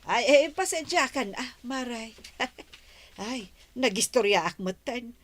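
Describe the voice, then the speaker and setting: Village gossip for radio drama woman acting: prying, insinuating busybody, high-pitched and very animated. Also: takes it slowly. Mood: sheepish.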